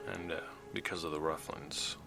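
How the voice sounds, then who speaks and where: A very low, gravelly male voice, a weary working mechanic in a sci-fi audio drama. He talks haltingly and reflectively, like someone recording a sombre log, with music underneath. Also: fairly even intonation. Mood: sombre recounting. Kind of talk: audio drama monologue, naturalistic.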